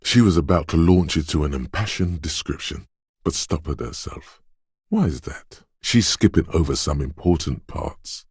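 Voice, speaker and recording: A watchful inner voice of reflex and wit for a video game. It is exceptionally deep and sits in a very dry, close space. The narration is deliberate and dramatic, addressed straight to the detective whose head it lives in. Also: male voice, lively intonation, no echo, exaggerated voice. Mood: wry, observant. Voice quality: very deep, smooth, resonant.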